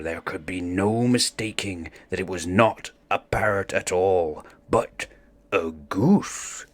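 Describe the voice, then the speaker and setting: A man reads a children's storybook aloud with dramatic expression. His voice sits fairly low, and he takes his time, pacing each phrase very slowly. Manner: theatrical, expressive. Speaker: storybook narrator.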